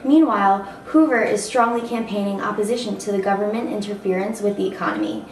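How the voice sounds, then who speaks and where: A woman's voice: medium pitch, lively intonation, fast. A woman anchor reading scripted amateur news. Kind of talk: scripted amateur mock newscast. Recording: echoey room, distant mic, faint noise.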